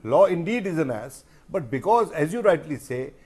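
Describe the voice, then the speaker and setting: A man with an Indian accent comments on a TV panel in a fairly high voice. He is opinionated and emphatic, yet speaks as if in conversation. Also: big pitch swings, moderate pace, darkish tone, slightly rough. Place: TV studio.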